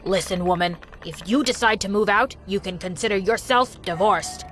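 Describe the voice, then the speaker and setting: A woman's voice: mid-range voice, moderate pace, a bit bright, faint music behind, voice pushed down, close to an everyday voice. A woman voicing a harsh, cold middle-aged father giving an ultimatum. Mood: threatening. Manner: gruff, commanding.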